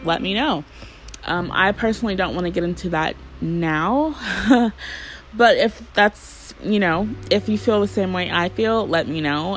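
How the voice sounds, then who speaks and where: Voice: mid-range voice, poor audio. A podcast host in a casual solo monologue, rambling and chatty, with lots of fillers and the odd swear word.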